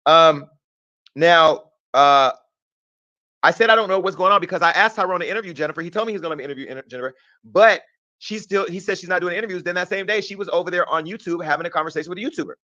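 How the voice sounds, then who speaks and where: A man's voice: sits high, quick pace, bright, forceful tone, muffled recording. A man hosting an online show with casual, rambling, sweary commentary.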